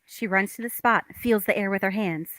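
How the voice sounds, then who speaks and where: A woman's voice: medium pitch, brisk, fairly bright tone, no echo. A woman reading stage directions as scripted narration in a play table read.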